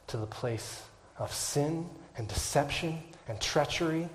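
A man preaching to his congregation, his voice pitched in the middle range. He slows for emphasis, drops to near-whispers, then builds to loud, fervent peaks. A faint hiss of noise sits underneath. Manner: rising to loud impassioned preaching, dropping to hushed whispers. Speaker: pastor. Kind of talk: sermon. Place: church.

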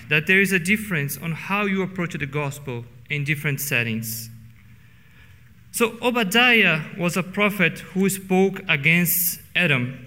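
A middle-aged Brazilian pastor preaches in English with a Portuguese accent, drawing out key words for weight. His voice is bright and sits a little high for a man. It rings out with the long reverb of a church hall. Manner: earnest, slows down for emphasis. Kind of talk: sermon. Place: church sanctuary.

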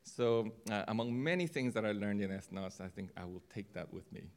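A man speaking to a church gathering in a soft-spoken, reflective way. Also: on the low side, animated, fairly quick. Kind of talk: church presentation talk.

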